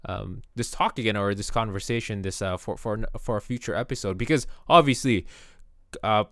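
Brief: male host, lowish smooth voice, dry close sound, stop-start delivery. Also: fairly bright tone, dry recording. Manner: halting, with many restarts and fillers. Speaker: podcast host. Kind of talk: casual chat.

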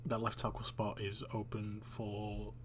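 A man's voice: on the low side, monotone, measured pace, a bit bright, a little hiss or hum. A man from a team podcast announcing a pick in a matter-of-fact way. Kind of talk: sports analysis.

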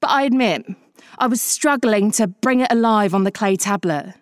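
A woman's voice: mid-range voice, expressive, normal speed, dry recording, natural voice. An actress in a comedy audio drama playing a wry, sardonic woman writer with a dry, exasperated manner.

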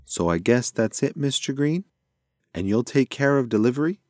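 A man voicing a kindly, frail elderly male mouse in a children's storybook, gentle and apologetic as he rambles. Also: mid-range voice, lively intonation, moderate pace, no echo, clean studio sound, pitched above the performer's own voice, natural voice. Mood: businesslike.

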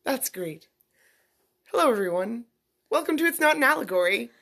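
A woman's voice: a little high, sing-song, normal speed, fairly bright tone, husky. A woman co-hosting a casual podcast, a little froggy and sniffly from a cold, chatting and telling stories with a teasing humor. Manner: chatty, storytelling, self-teasing. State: congested with a cold. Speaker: podcast co-host. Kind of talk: casual chat.

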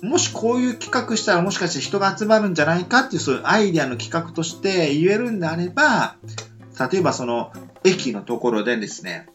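A man's voice: high-pitched, very animated, fast, a bit bright, faint music behind. A man co-hosting a casual radio chat, rambling with many fillers. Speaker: radio show co-host.

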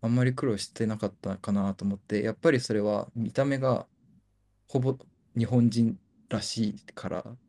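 A young man who co-hosts, a native Japanese speaker, talks thoughtfully and softly, moving between English and Japanese. His voice is low and mellow. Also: fairly even intonation, fast. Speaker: co-host. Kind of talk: casual chat.

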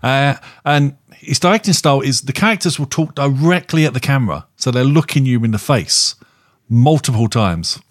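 A man casually reviewing films as a podcast co-host, rambling and halting as he circles back over his phrases. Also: mid-range voice, animated. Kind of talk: casual film review chat.

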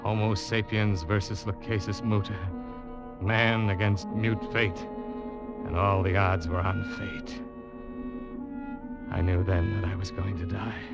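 A man's voice: low-pitched, little pitch movement. An actor playing a guilt-ridden young seaman who narrates his ordeal in tense, dramatic old-time radio style. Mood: hushed, tense dread. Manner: tense, dramatic, deliberate storytelling. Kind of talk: 1940s-50s radio drama acting, suspense narration. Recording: muffled old radio broadcast, loud music behind.

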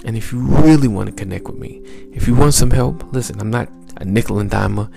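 A man with a very low voice that is heavy with gravel and fry talks as a mindset coach on his podcast. His delivery is smooth and encouraging, built on repeated exhortations. A faint bed of music plays underneath. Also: a little fast, dark tone. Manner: upbeat, repetitive coaching exhortations. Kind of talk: motivational podcast talk.

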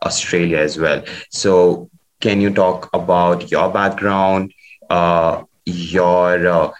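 Deep-voiced man hosting a podcast. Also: rough recording. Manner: hesitant, many "uh" fillers when asking questions. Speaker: podcast host. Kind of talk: scripted intro then interview questions.

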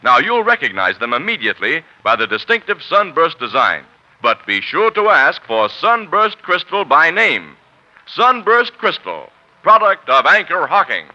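A man's voice: a little high, wildly swooping pitch, moderate pace, pushed and bright, a little hiss or hum. An American man announcing for a 1940s radio sponsor, with polished, salesmanlike delivery. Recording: muffled 1940s radio recording.

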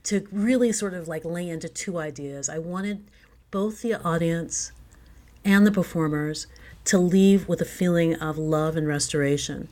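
A woman's voice: sits low, darkish tone. A woman speaking as a playwright guest in a conversational interview, earnest and reflective about her work.

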